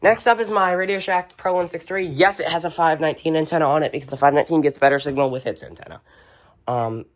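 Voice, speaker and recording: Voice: medium pitch, fast talker. A collector of unclear sex talks through a home collection in a mid-range voice. The delivery is casual and unscripted, with blunt, offhand little verdicts on each item. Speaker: radio collector presenting a collection. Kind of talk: casual unscripted show-and-tell. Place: indoors at home, among shelves and tables of radios.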